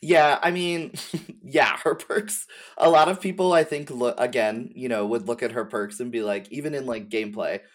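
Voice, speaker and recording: A woman's voice: rumbling low, normal speed. A woman chats informally as a guest, working through her thoughts aloud. Her delivery is conversational and appreciative, like someone discussing a favorite game. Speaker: podcast guest. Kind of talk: casual conversational commentary on game lore.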